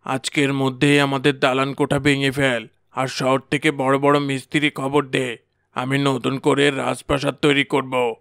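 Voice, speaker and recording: A man's voice: mid-range voice, flat delivery, normal speed, gravelly, fairly bright tone, smooth, no echo, clean studio sound. A man voicing a greedy, bossy village headman in a cartoon. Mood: greedy, commanding.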